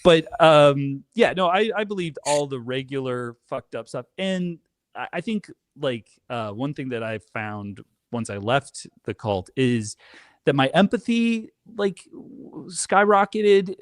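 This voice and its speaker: A man speaks as an interview guest, sharing personal stories in a casual, conversational style. His delivery is animated and emphatic, often cutting into his own sentences. The sound is dry, with very little room in it. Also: a little high, unhurried, no room sound. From Canada. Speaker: podcast guest.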